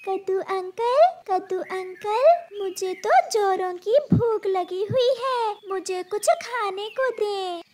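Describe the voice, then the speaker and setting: A woman's voice: extremely high pitch, takes it slowly, few pauses, a little mellow, a little hiss or hum, pitched above the performer's own voice. A woman voicing an eager, childlike little girl bird in a children's cartoon. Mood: eager, hungry. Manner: childlike, insistent. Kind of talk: children's cartoon story acting.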